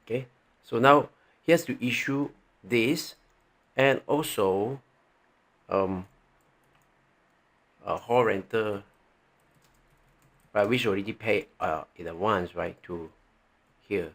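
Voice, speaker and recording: Low-pitched, creaky Malaysian man teaching. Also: a bit bright. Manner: halting, thinking aloud, frequently checking with "right". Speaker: tax training presenter. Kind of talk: informal tutorial walking through on-screen examples.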